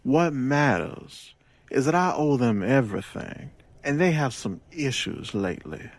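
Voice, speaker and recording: An actor playing a smooth gangster boss in an audio drama, with a deliberate, self-assured, persuasive delivery and sly wit. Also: male voice, mid-range voice, expressive. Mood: confiding, persuasive.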